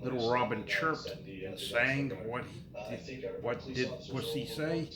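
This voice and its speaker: A man reading nursery rhymes aloud to his child in a sing-song, unhurried way, pausing and repeating lines. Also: medium pitch, reverberant, a loud crowd.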